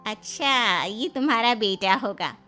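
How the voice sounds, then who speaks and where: A nosy middle-aged lady friend voiced by a woman in a cartoon tale, puzzled and teasing. The voice is highish and lively, over a music bed. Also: unhurried. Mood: curious, teasing. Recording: music behind the voice.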